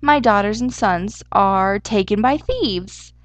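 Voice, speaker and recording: A woman's voice: medium pitch, takes it slowly, dry recording, close to an everyday voice. A woman voicing a fussy, eager male storybook hare with a German accent, exclamatory and easily indignant. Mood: proud. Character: excitable, fussy male Easter hare wearing pants. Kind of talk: storybook character voice.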